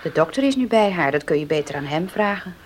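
An actress playing a matter-of-fact, reassuring household helper in a radio drama. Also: female voice, fairly low pitch, expressive, quick pace, lightly acted. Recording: noisy old radio play recording.

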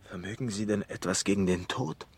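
An actor playing a desperate, pleading young man in love in a radio drama, with a husky voice. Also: lowish voice, brisk. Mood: stunned, despairing.

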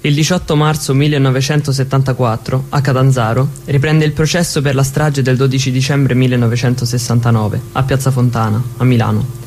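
Male documentary narrator, medium pitch, formal factual read, slight background noise and hum. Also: flat delivery, a little fast, darkish tone.

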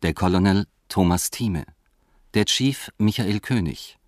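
A man reading scripted radio credits as an announcer, in a measured, formal manner. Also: low voice, no echo, studio quality.

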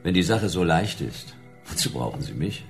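Low-voiced, skeptical private detective. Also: male voice, very animated, normal speed, gravelly, faint music behind, full character voice. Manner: questioning, dry, unimpressed. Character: skeptical middle-aged male private detective. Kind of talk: radio play acting.

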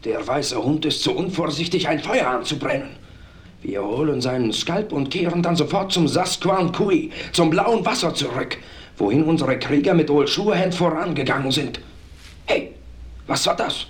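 High-pitched, hostile warrior scout, acted by a man. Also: expressive, moderate pace, natural voice. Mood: menacing, alert. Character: hostile, wary male warrior scout in a western. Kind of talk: German radio play western acting.

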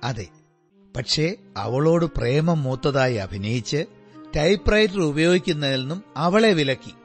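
A man's voice: on the high side, animated, unhurried, faint music behind. An actor playing a detective's attentive male companion in a Malayalam radio drama, earnest and questioning. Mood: thoughtful.

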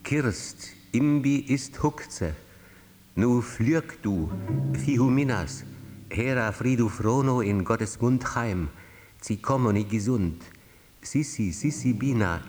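A low male voice intones an old spell in a radio drama. The delivery is slow and solemn, each phrase a deliberate command. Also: darkish tone, a little hiss or hum. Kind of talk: incantatory recitation of old charm in radio drama.

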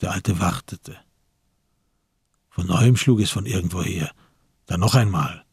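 A man with a husky, gravelly voice narrating a literary radio drama from a script. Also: deep voice, measured pace, darkish tone, dry recording, clean studio sound.

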